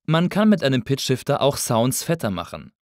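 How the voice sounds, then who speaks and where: A man narrating a scripted tutorial as an instructor, in a measured, explanatory way. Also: medium pitch, sing-song, no room sound, clean studio sound.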